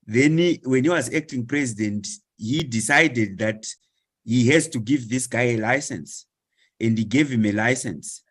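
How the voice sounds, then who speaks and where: A man, slowly asking a question in an online discussion and reeling off long lists of words with many hesitations. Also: mid-range voice. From Zimbabwe. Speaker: webinar participant.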